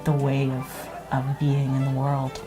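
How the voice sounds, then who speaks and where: A woman from Nova Scotia reflecting in an interview, thoughtful and hesitant with many pauses. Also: rumbling low, completely flat delivery, music in the background.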